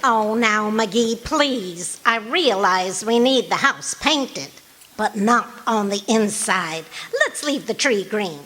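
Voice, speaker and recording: A woman's voice: mid-range voice, animated, slow pace, fairly bright tone. A woman playing a patient, dryly teasing wife in an old-time radio comedy, with an affectionate, wry manner. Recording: live stage performance with background noise.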